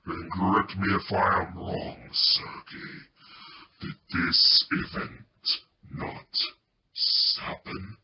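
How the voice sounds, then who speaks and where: An actor playing a menacing, cold cult master in an amateur radio play, speaking formally and deliberately. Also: male voice, sits low, flat delivery. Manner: slow, deliberate, formal threatening delivery. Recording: echoey, muffled, noisy home recording.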